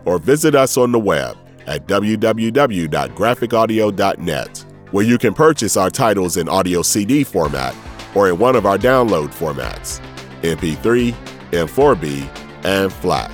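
A man's voice: sits low, big pitch swings, moderate pace. A man reading a scripted promotional podcast outro as the announcer. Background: music.

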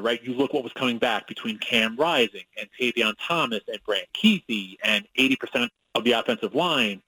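New York-accented sportswriter on the radio. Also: male voice, medium pitch, bright, forceful tone, no echo, low-quality recording. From Long Island, New York. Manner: conversational, with many fillers and restarts, analytical. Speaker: sportswriter guest. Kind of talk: radio interview.

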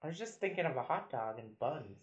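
Young woman, podcast co-host; playful teasing, animated pitch, muffled lo-fi recording. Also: brisk. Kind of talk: casual chat.

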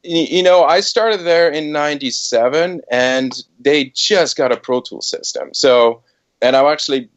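A man's voice: fairly high pitch, unhurried, dull audio. A middle-aged man, a music producer, telling his career story in a casual podcast interview, hesitant with many fillers. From Switzerland.

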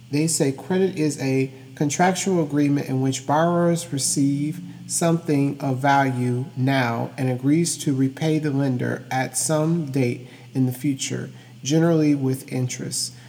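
A man's voice: mid-range voice, steady tone, unhurried, warm tone. A young adult man hosting an informal educational solo podcast, emphatic and repetitive as he stresses his key points. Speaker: podcast host. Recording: long hollow room reverb.

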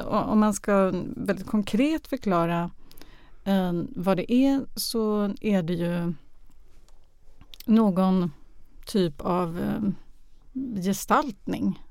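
A woman's voice: mid-range voice, vocal fry, darkish tone, smooth, no room sound, clean studio sound. A woman answering interview questions hesitantly, searching for words. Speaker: guest, radio drama artistic director.

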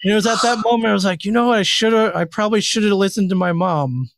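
A man's voice: high voice, quick pace, gravelly, slight background noise. A man from Michigan with an American accent, chatting casually as a guest, easygoing, self-deprecating and a little hesitant. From Michigan, United States. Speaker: talk show guest. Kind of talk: casual chat, anecdotal storytelling.

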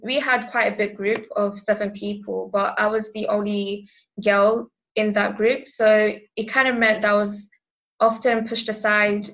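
A woman's voice: medium pitch, flat delivery, a little slow, a bit bright, lo-fi sound. A teenage schoolgirl in a recorded testimonial, speaking earnestly and carefully with some hesitations. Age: teens. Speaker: school pupil.

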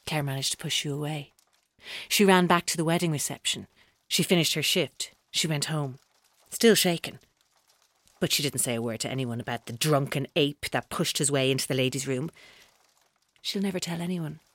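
An actress playing a controlling, taunting female captor in an audio drama, speaking in a deliberate, coaxing way. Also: sits low, a bit bright, no echo. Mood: accusing, controlled. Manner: deliberate, coaxing, coldly mocking. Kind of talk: audio drama acting, monologue-like.

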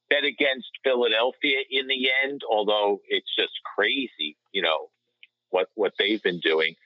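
A man's voice: medium pitch, no room sound, muffled recording. A man talking casually as a panelist in a sports podcast discussion.

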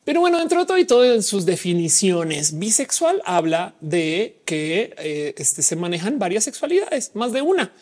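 Woman livestream host, mixed Mexican-Colombian accent, husky voice with a lively swinging pitch, slangy and talkative. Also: moderate pace, hardly any pauses. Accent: mixed Mexican and Colombian Spanish. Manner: casual, chatty, explains at length with slang. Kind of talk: live chat reading audience comments.

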